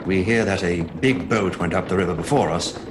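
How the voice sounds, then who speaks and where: An actor playing an earnest Victorian gentleman who reads out his journal in clipped entries in a radio drama. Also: low voice, brisk, close to an everyday voice. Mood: grim. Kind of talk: dramatic radio-play narration. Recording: noisy sound-effect ambience behind.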